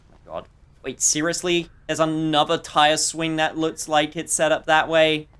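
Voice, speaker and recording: A man's voice: on the high side, level tone, normal speed, a bit bright, clean tone. A man giving casual, wry gameplay commentary as a streamer, joking at his own expense and thinking aloud. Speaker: streamer playing a game. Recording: faint game music behind.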